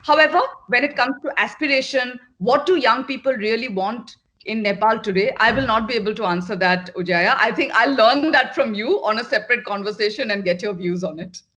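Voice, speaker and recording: A woman's voice: fairly high pitch, brisk, a bit bright. A middle-aged woman from Northeast India, a managing partner at an agency, answering interview questions in an earnest, direct way, often repeating words for emphasis.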